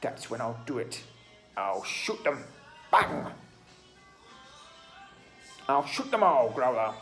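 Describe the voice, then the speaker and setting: A man voicing a posh, greedy, evil hunter in a bedtime storybook, speaking with loud, scornful glee. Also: mid-range voice, sing-song, slow, faint music behind, heavily acted. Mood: gloating. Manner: gloating, scornful, loud villain speech.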